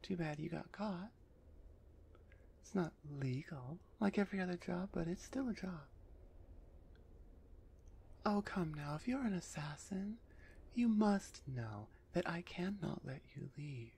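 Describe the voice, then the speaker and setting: Female minotaur executioner, played by a woman: slow, raspy half-whisper, gruff, impatient, sardonic, ASMR roleplay delivery. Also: lowish voice, expressive, dark tone, heavily acted. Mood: grudging respect. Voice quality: husky, breathy, often half-whispered. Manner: impatient, sardonic, addressing a captive.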